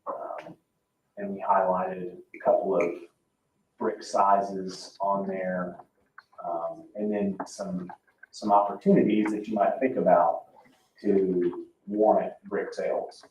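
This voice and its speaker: A man presenting informally at a public meeting, speaking in a halting, hesitant way. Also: deep voice, flat delivery, darkish tone. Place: indoor public meeting room. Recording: roomy, distant, dull, noisy meeting-room pickup.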